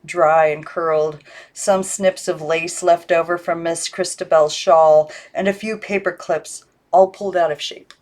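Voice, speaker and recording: A woman's voice: lowish voice, steady tone, a little slow, a little mellow. A woman giving scripted narration of a storybook as an amateur audiobook reader.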